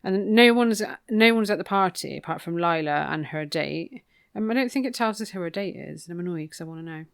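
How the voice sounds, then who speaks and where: A woman's voice: fairly low pitch, expressive, fairly quick, gravelly, clean tone. A British woman co-hosting a podcast, casually recapping a book in an informal, gossipy, wry way. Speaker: podcast co-host.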